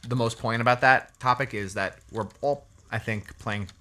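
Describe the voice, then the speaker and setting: Bright-voiced man joking over gameplay. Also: medium pitch, moderate pace. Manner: relaxed, joking, asks questions. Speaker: co-host. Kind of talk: casual gameplay commentary.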